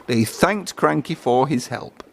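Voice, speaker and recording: Male storybook reader, mid-pitched voice, read-aloud narration for children. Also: expressive, unhurried, gravelly, a bit bright.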